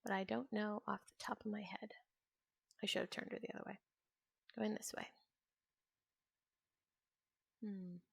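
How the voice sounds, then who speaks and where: A woman's voice: medium pitch, fairly even intonation, a little slow, creaky, long pauses, fairly bright tone, slightly rough, no echo. A woman in her twenties chatting casually and ramblingly as she streams a video game, sometimes trailing off into mutters to herself. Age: 20s. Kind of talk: casual chat while playing a video game.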